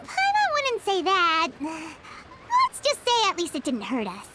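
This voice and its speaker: Brief: a tiny excitable fairy companion, shrill and childlike, always protesting, with heavy noise in the recording. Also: female voice, exaggerated voice. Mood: indignant. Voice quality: very high, squeaky childlike voice. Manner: indignant, quick to protest.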